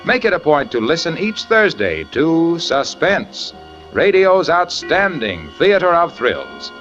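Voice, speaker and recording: A man announcing a 1940s radio show and reading ads in a brisk, salesman-like way. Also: a little high, big pitch swings, a bit bright, soft background music. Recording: dull old radio recording.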